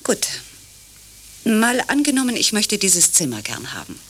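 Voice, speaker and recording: A woman's voice: medium pitch, huge pitch swings, measured pace, breathy edge, full character voice. An actress playing a distrustful, needling woman in radio play dialogue, insistent and probing. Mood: suspicious, needling. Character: distrustful, needling visitor pressing for honesty.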